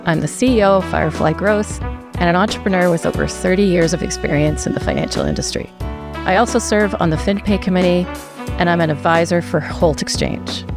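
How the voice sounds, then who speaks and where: A woman hosting a podcast, conversational and friendly with some fillers. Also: on the low side. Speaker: podcast host.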